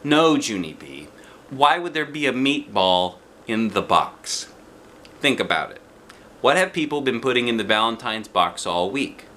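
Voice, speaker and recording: A man's voice: mid-range voice, very animated, a little slow, sharp tone, slight background noise. A man voicing a patient, kindly but exasperated woman schoolteacher explaining things to a small child in a storybook. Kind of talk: children's storybook read aloud.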